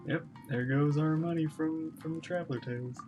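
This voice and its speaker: Very low-voiced woman reacting to a game. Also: normal speed, highs cut off. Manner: playful mock-grumbling. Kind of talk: casual live commentary. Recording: game music behind the voice.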